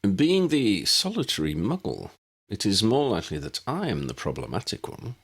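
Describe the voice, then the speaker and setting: A man voicing a courteous older gentleman scholar in a storybook, speaking in a formal, old-fashioned, measured way. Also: fairly low pitch, huge pitch swings, husky, voice pushed up, heavily acted. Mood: politely self-effacing.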